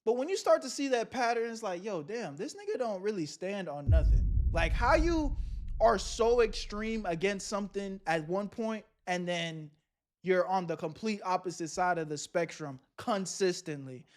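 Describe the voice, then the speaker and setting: A young man streaming live, chatting casually with his viewers in loud, laughing, slang-heavy banter. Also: high-pitched, lively intonation, moderate pace. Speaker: streamer reacting to videos.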